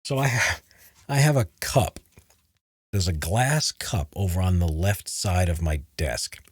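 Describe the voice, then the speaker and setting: A man with a very low, deep voice, slightly husky, talking casually as he walks viewers through editing his own voice-over takes. He is recorded close on a studio boom mic in a home studio, and the sound is dry with no room echo. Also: a little slow. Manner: talks over his own playback, breaking off to explain edits. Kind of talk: casual livestream tutorial mixed with recorded narration takes. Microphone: studio mic on a boom arm.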